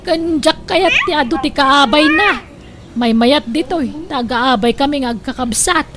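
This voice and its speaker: A woman's voice: high voice, very animated, measured pace, heavy background noise. A woman playing a bossy, insistent older sister in a comedic radio drama, with a Filipino accent. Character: bossy older sister planning siblings' graves.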